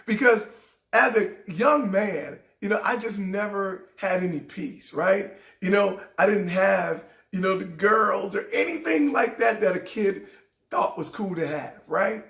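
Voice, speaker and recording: A middle-aged man hosting a show, telling a heartfelt personal story in a raspy voice that sometimes cracks. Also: high-pitched, a little slow, a bit bright, reverberant. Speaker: show host. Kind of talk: inspirational personal talk.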